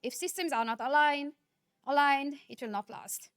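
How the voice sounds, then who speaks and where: A woman from Nepal, giving a conference keynote speech in a measured, earnest manner, often posing rhetorical questions. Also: sits high, dry recording, clean studio sound. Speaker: keynote speaker.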